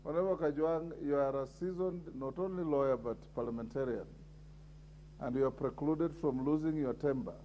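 Kenyan man, parliamentary speaker, firm and commanding, a bit high-pitched, desk mic in a big chamber. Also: a little fast, dark tone, highs cut off, slight background noise. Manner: firm, calling order repeatedly. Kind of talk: chairing a parliamentary debate. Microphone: desk microphone.

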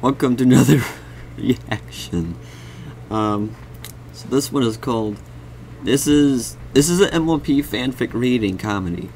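A young man hosting a casual reaction video, rambling and halting as he comments. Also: medium pitch, darkish tone. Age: young adult. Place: dim room indoors. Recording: clear background noise.

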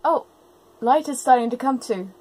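A woman's voice: high voice, moderate pace, dark tone, rough recording. A woman giving casual reaction commentary, dropping exclamations and asides to the characters as she watches.

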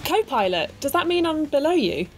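A woman's voice: high voice, sing-song, moderate pace. A woman co-hosting a music podcast, chatting in a playful, teasing way and laughing easily. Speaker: podcast co-host, blogger. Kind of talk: casual podcast chat.

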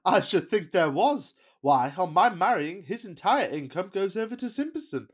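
Woman as a pompous bachelor gentleman, swooping delivery. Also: on the low side, big pitch swings, husky. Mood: haughty reasoning. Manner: florid, mock-solemn, deliberate declaiming. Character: pompous amorous wealthy bachelor gentleman of thirty-two. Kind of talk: theatrical radio-play farce acting.

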